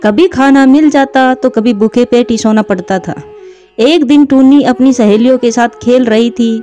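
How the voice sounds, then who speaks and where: A woman's voice: fairly high pitch, moderate pace, warm tone, dull audio, faint music behind. A woman narrating a scripted children's story.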